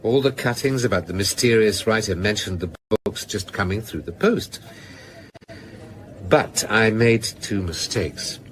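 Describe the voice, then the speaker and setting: An actor in a radio drama playing a rueful, sardonic novelist who confesses in a measured, hesitant way. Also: male voice, on the low side, expressive, background noise. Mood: wry, resigned.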